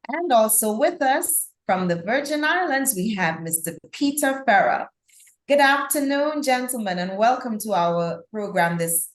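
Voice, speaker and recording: Woman, interview host, polite and chatty, somewhat high voice. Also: reverberant. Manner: courteous and chatty, light laughter. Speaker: host and court official. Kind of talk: live online interview.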